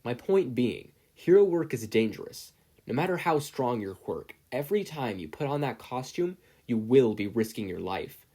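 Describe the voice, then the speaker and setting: A man's voice: medium pitch, big pitch swings, moderate pace, warm tone, husky. A man voicing a hearty, earnest older hero and kindly mentor, speaking in an emphatic, encouraging way for an audiobook. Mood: earnest, serious. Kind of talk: audiobook character voice.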